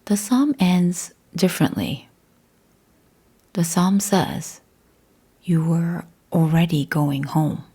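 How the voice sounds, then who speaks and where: A woman narrates a guided meditation in a slightly low, mellow voice with a breathy, husky edge. Her delivery is hushed and measured, with deliberate pauses between phrases.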